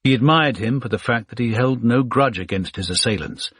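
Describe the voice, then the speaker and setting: A man narrating a thriller audiobook in a measured, even storytelling manner. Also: mid-range voice, husky, no room sound.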